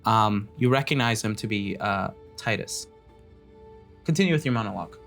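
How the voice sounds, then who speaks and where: A man's voice: on the low side, animated, moderate pace, a bit bright, faint music behind. A man casually narrating an improvised tabletop game as game master, often breaking into laughter.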